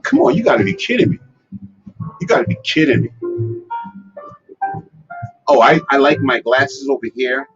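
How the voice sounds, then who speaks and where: A man's voice: mid-range voice, sing-song, moderate pace, pauses often, a bit bright. A man hosting a casual advice livestream, talking with many fillers and restarts. He says himself that he has a strong voice. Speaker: host. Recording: lo-fi with music behind. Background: guitar music.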